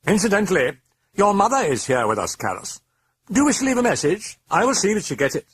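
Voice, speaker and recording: A man voicing a sly, taunting, grandiose demon in a croaking, breathy rasp, drawn out with mock gentility for an audiobook. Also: high-pitched, very animated, fairly bright tone, recorded from a distance, a little hiss or hum, voice pushed up. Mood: gleeful taunting. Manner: drawn-out, mock-genteel, theatrical delivery. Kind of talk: dramatic audiobook character voice.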